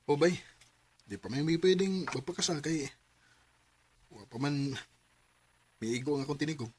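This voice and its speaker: A man narrating and acting as the lead in a radio drama, earnest and gentle in manner. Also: a little high, moderate pace, a little hiss or hum. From Cebu, Philippines.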